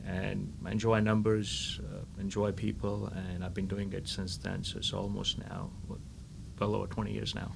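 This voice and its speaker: Very low creaky guy from india, eager answers, lots of hiss behind him. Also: flat delivery, normal speed. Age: middle-aged. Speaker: guest, lending firm founder. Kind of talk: interview answers. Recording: noisy recording.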